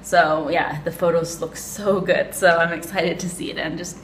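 A woman's voice: fairly low pitch, steady tone, normal speed, noisy background. A young woman from Canada vlogging on holiday, talking casually and rambling with many fillers, recorded in a hotel room on a handheld camera. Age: young adult. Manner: rambling, upbeat, lots of "like" and "um". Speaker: travel vlogger. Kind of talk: casual chatty vlog. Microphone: phone camera held at arm's length.

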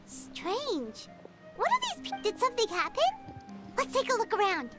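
A woman's voice: very high-pitched, sing-song, normal speed, loud music behind the voice. A woman voicing a tiny, chirpy fairy companion with a childlike manner in a video game. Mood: curious, concerned. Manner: childlike, refers to self in third person. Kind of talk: English video game voice acting.

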